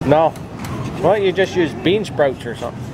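A man's voice: a little high, animated, a little fast. A middle-aged man filming his family, teasing and joking in casual chat. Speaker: father filming family vlog. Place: home kitchen, car and grocery store. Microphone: handheld camera. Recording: noisy handheld camera audio.

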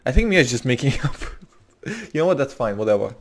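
A man's voice: mid-range voice, very animated, a little fast. A young man streaming a roleplay game, muttering to himself while typing and restarting his sentences often. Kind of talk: live-streamed roleplay commentary.

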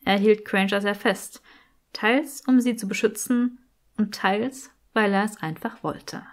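Hörbuchsprecherin mit mittlerer Stimmlage. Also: female voice, medium pitch, unhurried. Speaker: audiobook narrator. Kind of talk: scripted story narration.

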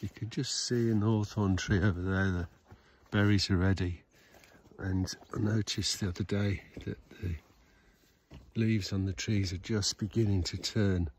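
A man giving a relaxed, rambling outdoor tour of his smallholding, filmed on a handheld phone. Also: low-pitched, normal speed. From Scotland. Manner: relaxed, rambling, with restarts and fillers. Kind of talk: casual walking tour chat. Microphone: handheld phone camera.

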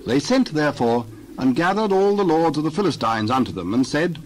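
A man's voice: fairly high pitch, slight background noise, low-quality recording. Man reading scripture steadily. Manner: measured, steady narration. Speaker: scripture reader.

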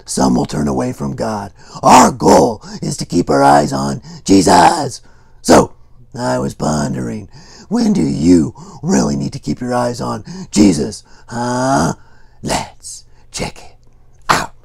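A man voicing a goofy, excitable dinosaur puppet in a children's Bible skit, playful and chatty with a husky, gravelly voice. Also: medium pitch, slow, heavily acted. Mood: earnest.

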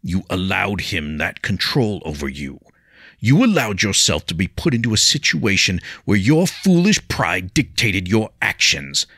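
Brief: man playing a stern accented old sword master, slow and gravelly, a bit raised. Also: deep voice, very animated, sharp tone, no echo, studio quality, pitched above the performer's own voice, exaggerated voice. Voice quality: accented English. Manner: measured, scolding rebukes. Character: stern older male weapons master, disappointed.

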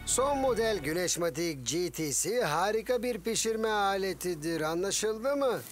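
A man's voice: high-pitched, expressive, unhurried, faint music behind. A man playing a proud, eccentric gadget-loving father with a pedantic manner in a dubbed children's cartoon. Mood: proud, insistent.

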